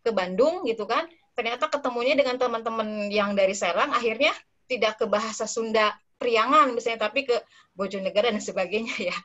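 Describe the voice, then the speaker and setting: A woman speaking as a researcher in a relaxed, chatty online discussion, with many fillers and tag words. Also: fairly high pitch, fairly quick. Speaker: invited speaker, dissertation researcher. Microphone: online call audio. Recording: slightly dull online call audio.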